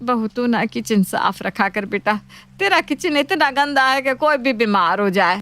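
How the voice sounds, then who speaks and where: High-voiced greedy elderly mother-in-law acted by a woman. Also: animated, unhurried, a bit bright, faint music behind. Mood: worried.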